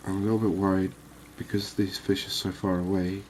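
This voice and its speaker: Man, deep voice, monotone, calm ASMR gameplay host, unhurried, faint background ambience. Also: slight background noise, low-quality recording. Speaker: gaming video host. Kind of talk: ASMR gameplay commentary on a fishing game.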